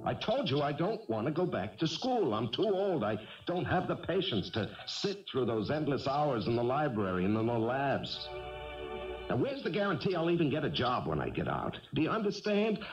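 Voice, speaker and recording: An actor playing a stubborn, defensive husband, argumentative and evasive, in a 1970s radio drama. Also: male voice, fairly low pitch, sing-song, fairly quick, darkish tone, soft background music. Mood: exasperated. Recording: dull vintage radio broadcast recording.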